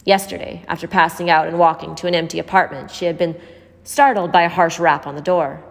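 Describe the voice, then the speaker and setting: A woman's voice: fairly low pitch, steady tone, fairly quick, vocal fry. A woman reading a scripted story aloud as an audiobook narrator. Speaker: audiobook reader. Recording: echoey hall-like reverb.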